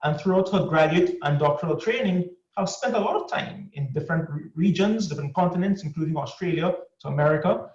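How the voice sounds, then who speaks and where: A man hosting an online course session, giving a measured, formal introduction of a panelist over a video call. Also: on the high side. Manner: measured, formal delivery with drawn-out phrases. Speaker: course host. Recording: distant, echoey, muffled video call audio.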